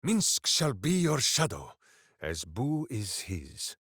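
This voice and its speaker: An actor playing a big, hearty, simple-hearted heroic warrior in a fantasy video game, earnest and grandiose in a theatrical way. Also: male voice, mid-range voice, huge pitch swings, slow, a bit bright, breathy edge, dry recording, studio quality, full character voice. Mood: playful. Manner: boisterous, earnest, grandiose, speaks of himself in third person.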